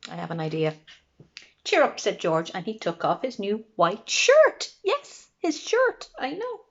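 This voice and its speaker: Animated woman reading a children's story. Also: medium pitch, unhurried, lo-fi sound. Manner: expressive, prompting young listeners with questions. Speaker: librarian reading a picture book to children.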